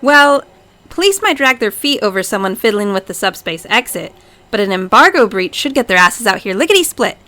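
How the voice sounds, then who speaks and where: A woman's voice: medium pitch, sing-song, brisk, sharp tone. A woman playing a motherly, exasperated alien café boss in an audio comedy, folksy and a little bossy. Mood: brisk. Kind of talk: comedic audio drama acting.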